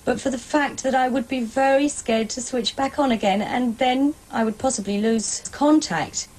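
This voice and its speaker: A woman's voice: sits high, moderate pace, darkish tone. A woman who uses CB radio, answering in an interview.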